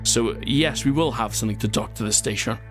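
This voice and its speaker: A Welsh man with a medium-pitched voice talks through his gameplay in a loose, rambling way, drifting into asides and laughing at his own slips. Quiet music runs under his voice. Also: a little fast. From Wales. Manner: chatty and self-mocking, rambling asides, frequent laughs. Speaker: gaming channel host. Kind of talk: casual gameplay commentary.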